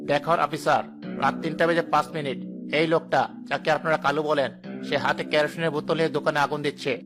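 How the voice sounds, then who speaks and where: A man playing a calm, helpful travel blogger in a cartoon, explaining things in an assured manner. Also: fairly high pitch, fast talker, music in the background, close to an everyday voice. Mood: confident. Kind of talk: animated cartoon dialogue.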